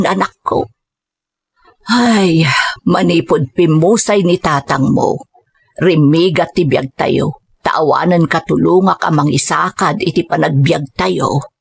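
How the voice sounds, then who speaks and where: A woman's voice: on the low side, unhurried, creaky, slightly rough, heavily acted. Woman acting a worried, tender mother. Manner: anxious, tender. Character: worried, loving widowed middle-aged mother. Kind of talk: audio drama acting.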